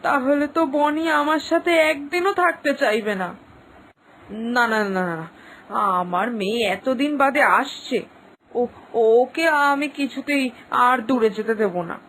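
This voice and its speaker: A woman's voice: high-pitched, animated, fairly bright tone, a little hiss or hum, rough recording. A woman voicing an anxious middle-aged village mother, fretting and pleading over her daughter's homecoming in a cartoon story. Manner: fretful, pleading, slows down when worried. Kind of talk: cartoon voice acting.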